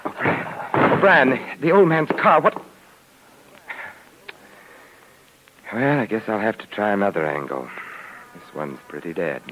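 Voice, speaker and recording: A man playing a suave, sardonic gentleman adventurer in a 1950s radio detective drama, urbane and coolly confident, with dry, mocking wit. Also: lowish voice, lively intonation, moderate pace, slight background noise, poor audio. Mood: urgent. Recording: vintage 1950s radio recording.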